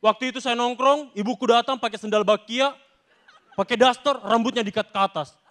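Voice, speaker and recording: High-pitched young Makassar man doing stand-up. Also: fairly even intonation, brisk, a bit bright. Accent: Makassar Indonesian. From Makassar, Indonesia. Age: young adult. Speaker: stand-up comedy contestant. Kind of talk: stand-up comedy performance. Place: large TV studio stage with audience. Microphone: handheld microphone.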